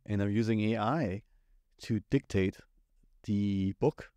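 A man in his forties speaks English with a German accent, in a lowish, easy voice. He talks loosely and casually, filling gaps with "uh" and "yeah". The close studio mic gives a dry, dead sound. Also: takes it slowly, no echo. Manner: relaxed, rambling with many fillers. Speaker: IT company owner, podcast co-host. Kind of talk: casual podcast chat. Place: small studio set. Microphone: studio mic on boom at the mouth.

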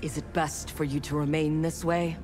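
An actress playing a calculating ninja clan loyalist in a video game, controlled, clipped and assured. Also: female voice, low voice, flat delivery, moderate pace, natural voice. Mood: cool, resolute. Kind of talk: video game character dialogue. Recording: loud music behind the voice.

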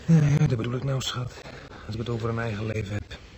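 A man's voice: mid-range voice, steady tone, quick pace, darkish tone, natural voice. An actor playing a blunt, teasing married man who is a hospital patient, in a naturalistic radio drama. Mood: complaining.

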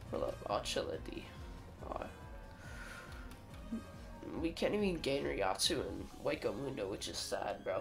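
A young man streaming a game, chatting casually in slang with a croaky voice. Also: mid-range voice. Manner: laid-back slangy chatter, swearing. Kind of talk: casual gameplay commentary. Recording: loud game music behind voice. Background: game music.

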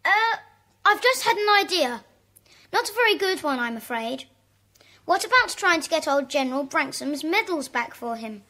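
A woman's voice: sits high, big pitch swings, normal speed, pushed and bright, heavily acted. A woman playing a polite, hesitant schoolchild in a children's audio drama, earnest and apologetic.

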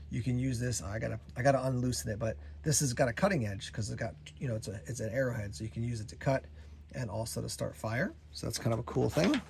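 A man's voice: medium pitch, gravelly, breathy edge. A man casually showing off his outdoor gear outdoors beside a pickup truck, chatty and enthusiastic. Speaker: gear reviewer. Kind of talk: casual gear show-and-tell.

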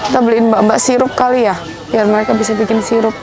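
A woman's voice: a little high, little pitch movement, fast, a crowd in the background. A young woman vlogging casually as she browses a big supermarket, thinking aloud about what to buy. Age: young adult. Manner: chatty, thinking aloud while browsing shelves. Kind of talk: casual shopping vlog chat. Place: large supermarket indoors.

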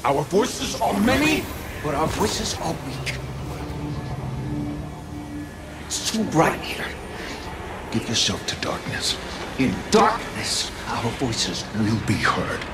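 An actor voicing a ghostly, imprisoned dissenter spirit in a video game, speaking in breathy whispers and solemn, cryptic pronouncements. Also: male voice, on the high side, sing-song, takes it slowly, heavy background noise. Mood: mournful.

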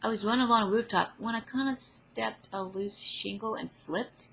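A woman voicing a charming, joking teenage boy superhero with playful, halting bravado in an amateur audio drama. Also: mid-range voice, gravelly, fairly bright tone, breathy edge, pitched above the performer's own voice, rough recording.

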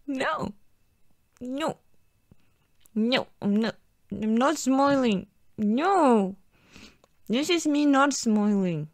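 Slow, pouty girlfriend played by a woman. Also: fairly high pitch, no echo, studio quality. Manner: halting, giggly, mock-sulky pleading. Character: playfully whiny, pouting young girlfriend. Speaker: ASMR roleplay performer. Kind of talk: improvised intimate ASMR girlfriend roleplay.

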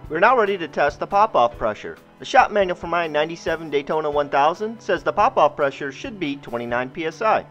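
High-pitched, animated man narrating a how-to. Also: brisk, few pauses. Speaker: DIY host. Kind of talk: scripted how-to voice-over narration. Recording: muffled, band-limited voice-over with music. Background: music.

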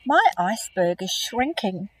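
A woman voicing a gentle, apologetic male polar bear in a picture book read-aloud, speaking softly and politely. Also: mid-range voice, very animated, unhurried, no echo, poor audio. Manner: polite, soft-spoken.

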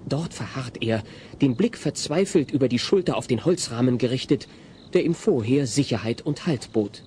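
An actor narrating a comic audio play as a wry, dramatic storyteller, reading scripted German prose. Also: male voice, mid-range voice, expressive, normal speed, warm tone, close to an everyday voice. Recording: faint hiss, old cassette audio.

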